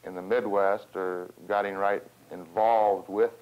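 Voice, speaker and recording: An American man speaks in a very low voice with little rise or fall, picking his way haltingly through long, roundabout sentences. He sounds measured, as in a formal interview. The sound is slightly dull, like an old film soundtrack. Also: fairly even intonation, clear, resonant tone. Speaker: farm organization representative and cattle rancher. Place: small wood-panelled room, seated at a table.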